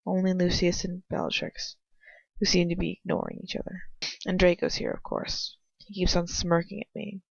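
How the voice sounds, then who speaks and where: Low-voiced woman reading fiction, creaky, lo-fi. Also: level tone, no room sound. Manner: halting, stumbles and rereads phrases. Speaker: amateur audiobook reader. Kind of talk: fan fiction narration, read aloud in first person. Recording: dull lo-fi home recording.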